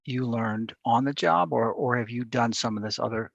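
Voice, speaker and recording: A man's voice: mid-range voice, normal speed, gravelly, no echo, muffled recording. A man interviewing a guest over a video call, asking hesitant questions with repeated words. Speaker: interviewer, newspaper reporter.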